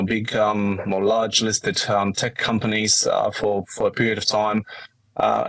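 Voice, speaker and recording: A middle-aged man with a Polish accent answering interview questions conversationally, in halting phrases padded with asides. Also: on the low side, fairly bright tone, no room sound, rough recording. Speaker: podcast guest, company managing director.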